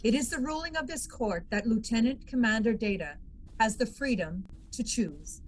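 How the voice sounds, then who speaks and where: A woman playing a thoughtful military judge who speaks in a grave, measured, reflective way. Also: a little high, expressive, rough recording. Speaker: amateur actor in a table read.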